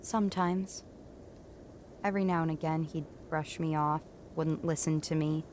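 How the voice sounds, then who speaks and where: A woman's voice: low voice, fairly even intonation, moderate pace, darkish tone, a little hiss or hum, natural voice. A woman playing a determined, kind young female mountain climber, plucky and inquisitive, in a family audio drama. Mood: subdued, sympathetic.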